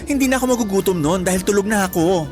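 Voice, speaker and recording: A woman's voice: medium pitch, expressive, fast talker, natural voice. A sassy young Filipina, acted by a woman, speaks in a mid-range voice over music. She plays the bossy but caring big-sister friend in a radio drama, scolding in casual slang. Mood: sassy, nagging. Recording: music bed under the dialogue.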